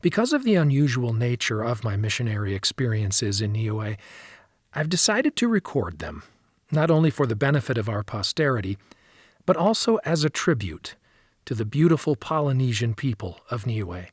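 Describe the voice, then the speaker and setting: A male narrator reads scripted audiobook text in a mid-pitched voice with a husky grain. The sound is dry, with no room around it. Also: big pitch swings, moderate pace, dry recording.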